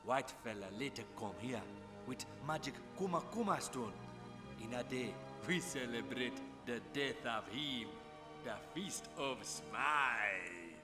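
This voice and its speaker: A man's voice: medium pitch, expressive, a little slow, natural voice. A man doing a menacing cannibal chief in broken English. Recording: long reverb with game music under it.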